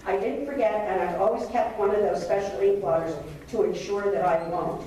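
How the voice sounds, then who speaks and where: A woman's voice: mid-range voice, normal speed, dark tone. Older woman reading a story in an echoey hall. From Columbus, Ohio. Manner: storytelling with a nostalgic tone. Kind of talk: reading a personal memoir story aloud. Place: meeting hall. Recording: echoey hall from a distance, faint noise.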